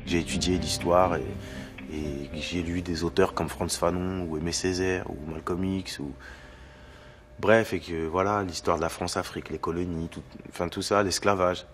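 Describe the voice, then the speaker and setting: A man speaking thoughtfully and softly in an interview. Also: sits low, fairly even intonation, moderate pace, soft background music. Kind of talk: interview testimony.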